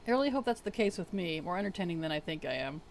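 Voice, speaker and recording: Live streamer, mid-pitched, strained and weak-voiced, chatty and soft-spoken, reads chat aloud. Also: animated, quick pace, gravelly, a little hiss or hum. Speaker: game streamer. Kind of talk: casual live-stream chat while playing.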